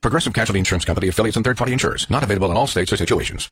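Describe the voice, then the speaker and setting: A man's voice: lowish voice, very fast. A man reading a comic scripted commercial as the announcer. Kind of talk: comic scripted advert read.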